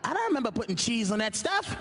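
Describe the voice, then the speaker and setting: A man's voice: extremely high pitch, big pitch swings, quick pace, noisy background. A male comedian performing a stand-up routine, storytelling and laughing through punchlines.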